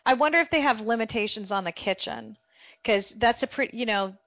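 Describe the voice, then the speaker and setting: A woman co-hosting a casual podcast as a travel agent, chatty and animated, laughing easily. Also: fairly high pitch, brisk, no echo. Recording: dull, dry podcast audio.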